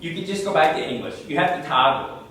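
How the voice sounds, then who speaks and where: A man's voice: on the high side, fast talker, bright, forceful tone. A man presenting tech tips at a user group meeting, talking conversationally and answering questions, often repeating words as he goes. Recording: echoey room, mic far off.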